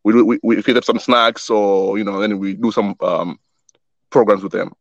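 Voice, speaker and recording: A man's voice: on the low side, steady tone, normal speed, vocal fry, no echo, highs cut off. A young man who was born in Wisconsin and grew up in Tanzania, a guest chatting casually about the youth soccer group he started, often repeating words as he thinks. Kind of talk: casual interview chat.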